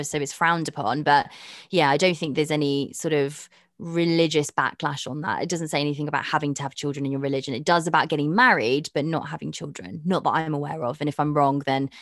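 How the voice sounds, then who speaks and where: A young woman with a notably low voice talks frankly and chattily as an interview guest, thinking out loud as she goes. The sound is dry and close, with no room around it. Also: no room sound. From Pakistan. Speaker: presenter and dating podcast host, guest. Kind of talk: candid interview conversation.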